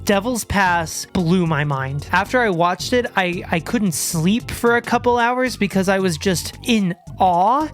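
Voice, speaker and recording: A man hosting a horror video, talking excitedly with stammered restarts and sudden outbursts as he loses his voice. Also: high-pitched, a little slow, hardly any pauses, soft background music. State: losing his voice. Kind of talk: enthusiastic solo video commentary.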